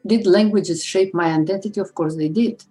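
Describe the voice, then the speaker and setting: A middle-aged woman from Uzbekistan, a librarian, answering interview questions thoughtfully with pauses. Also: medium pitch, mellow, soft background music. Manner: thoughtful, pausing with fillers.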